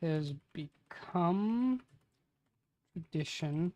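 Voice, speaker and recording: A man's voice: on the high side, lively intonation, extremely slow, warm tone, lo-fi sound. A man in his late twenties streaming casually, murmuring phrases aloud as he writes, then chatting with friends and swearing freely. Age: 20s. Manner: mutters lines aloud while typing, then casual banter with swearing. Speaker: streamer writing a tabletop campaign. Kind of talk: casual livestream chat.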